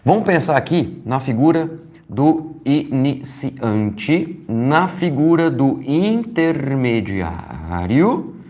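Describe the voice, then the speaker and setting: A Brazilian man with a slightly hoarse, stuffy voice, teaching on a live stream in an easy, chatty way. He greets viewers by name as he goes and keeps asking whether everyone is following along. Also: mid-range voice, big pitch swings, takes it slowly, warm tone. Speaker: strength training educator hosting a live stream. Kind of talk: casual live-stream lesson.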